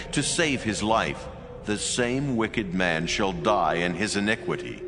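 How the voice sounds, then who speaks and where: An actor playing God as a solemn, commanding figure who proclaims gravely and deliberately in a dramatized scripture drama. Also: male voice, on the low side, fairly bright tone. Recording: long cavernous reverb, music underneath.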